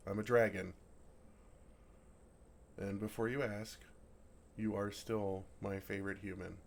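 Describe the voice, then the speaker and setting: A man playing a gentle, affectionate, innocently oblivious dragon in an intimate, earnest ASMR roleplay. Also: on the low side, vocal fry, a little hiss or hum. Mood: playful, earnest. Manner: earnest, softly teasing, innocently puzzled.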